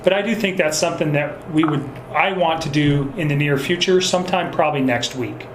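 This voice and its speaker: Halting, higher-voiced male investigator, echoey phone call. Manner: hesitant, halting, measured and polite. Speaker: police investigator conducting a phone interview. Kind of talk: investigative phone interview, unscripted conversation. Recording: echoey recorded phone call with faint noise.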